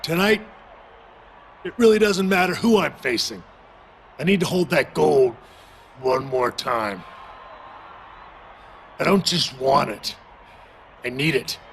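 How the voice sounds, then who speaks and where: A battle-worn veteran wrestler in a video game promo. He speaks earnestly and with determined emphasis in a slightly high voice. A little noise is audible behind him. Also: lively intonation, takes it slowly, pauses often.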